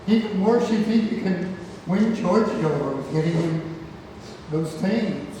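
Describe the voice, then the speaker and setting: A man acts out a frail old grandfather in a stage play read-through, wistful and halting, with a fairly high voice that often trails off. The sound is very echoey and noisy, as if caught far across a hall. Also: animated, mellow. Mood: bitter, reproachful. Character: frail, ailing old grandfather, wistful, tender, testy with his son. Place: theatre room, far from the mic.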